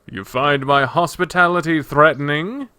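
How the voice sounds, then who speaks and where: Courteous clawed emperor acted by a male reader, composed, measured, formal; fantasy story, dry close sound. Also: on the high side, sharp tone, clean tone, no echo. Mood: assured, courteous.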